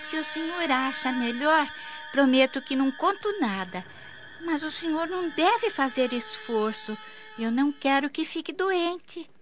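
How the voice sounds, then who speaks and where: High-voiced caring young girl. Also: lively intonation, a little slow. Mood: caring, earnest. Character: caring, obedient thirteen-year-old girl. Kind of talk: radio drama acting. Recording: dull lo-fi, noisy background.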